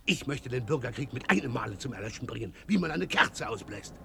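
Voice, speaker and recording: A noisy radio play recording of a proud, impulsive rebel landowner. The man declaims formally and resolutely at an ordinary male pitch, every phrase a statement of defiance. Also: lively intonation, brisk, gravelly, bright, forceful tone. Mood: defiant, resolute. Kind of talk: German radio play acting.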